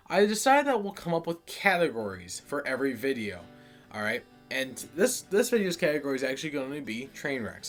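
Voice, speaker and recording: An American man hosting a video series, telling stories and chatting casually to viewers in a folksy way. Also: a little high. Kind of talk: casual scripted storytelling and chat to viewers.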